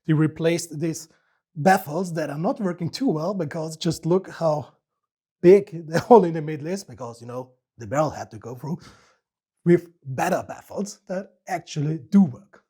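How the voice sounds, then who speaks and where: Husky, higher-voiced Austrian man. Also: moderate pace, mellow. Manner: playful, self-mocking. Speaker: host of a firearms review channel. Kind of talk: joking scripted product review.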